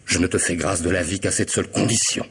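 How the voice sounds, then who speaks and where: An actor playing a vengeful, mocking killer in a French radio drama, theatrical and menacing, moving between hush and outburst. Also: male voice, low voice, fairly even intonation, fast, slight background noise. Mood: threatening. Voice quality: husky, gravelly voice. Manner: theatrical menace, swinging from hushed to loud.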